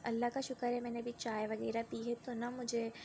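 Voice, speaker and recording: A woman's voice: fairly high pitch, flat delivery, fairly quick, mellow, a little hiss or hum. A young woman with a sore throat and a cough, chatting through a rambling vlog voice-over. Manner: rambling and conversational, with many tag fillers. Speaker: vlogger.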